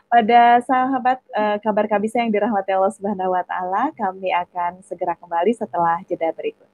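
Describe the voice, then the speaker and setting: A woman hosting a religious talk show in a polite, halting way. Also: medium pitch, steady tone, phone-quality sound.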